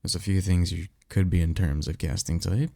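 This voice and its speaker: A man playing a blunt, sarcastic vampire mentor in an audio roleplay, talking in a casual, halting, exasperated way. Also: sits low, fairly even intonation, vocal fry, warm tone, dry recording, studio quality. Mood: explanatory, skeptical. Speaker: voice actor. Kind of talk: ASMR roleplay audio drama, one-sided conversation.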